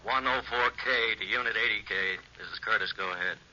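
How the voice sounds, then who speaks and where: A brisk police officer gives clipped reports through a police radio filter in a vintage crime drama. The voice is very sharp, the sound dull and slightly noisy. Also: male voice, fairly low pitch. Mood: businesslike. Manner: clipped, procedural. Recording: muffled police radio effect, old broadcast noise.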